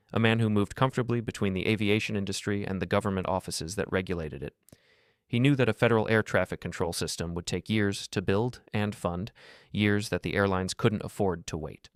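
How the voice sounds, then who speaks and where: Deep-voiced grave male narrator. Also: dry recording, clean studio sound. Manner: measured, grave delivery. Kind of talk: scripted documentary narration.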